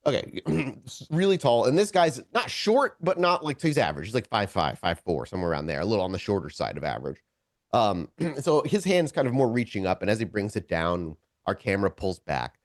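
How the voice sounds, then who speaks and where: A man with a low-pitched, animated voice acts as game master for a tabletop RPG. He improvises vivid scene narration for his players, often stopping to rephrase. The sound is close and dry. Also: dry recording. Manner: halting, self-correcting, searching for words.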